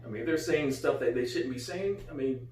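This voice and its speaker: A man's voice: mid-range voice, lively intonation, a little fast, a little mellow, recorded from a distance. A middle-aged man with an American accent chatting casually as a co-host, repeating phrases for emphasis. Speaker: co-host, a father. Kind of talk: casual chat. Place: small living room, on a couch. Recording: roomy, off-mic, faint hum.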